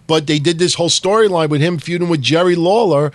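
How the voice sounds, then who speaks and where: A man hosting a casual wrestling podcast, talking in a quick, emphatic way, his voice a little hoarse. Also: on the high side. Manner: quick, emphatic, talks over co-host. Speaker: podcast host.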